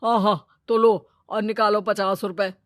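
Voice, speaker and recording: A woman's voice: medium pitch, level tone, measured pace, dry recording, studio quality, lightly acted. A woman playing a greedy male village moneylender. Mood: brash. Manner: loud, brash and boastful. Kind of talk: cartoon storybook voice acting.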